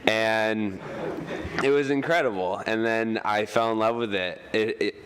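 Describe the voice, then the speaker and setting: A man's voice: fairly low pitch, a little slow, background noise. A young man, a biologist running for council, speaking earnestly and eagerly at a candidate forum.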